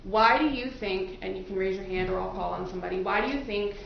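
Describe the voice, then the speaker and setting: A woman's voice: mid-range voice, quick pace, vocal fry. A woman working as a defense attorney, questioning prospective jurors in a courtroom in an informal, conversational, explanatory way. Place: courtroom, into a microphone. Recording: echoey courtroom audio, muffled, noisy.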